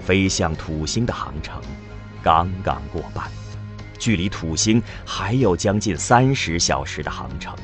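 A man giving solemn, expressive scripted narration for a science fiction audio drama. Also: sits low, unhurried. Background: music.

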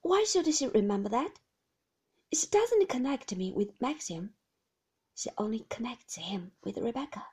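Woman playing a shy young wife, hurried and reassuring, breathy, lively pitch.

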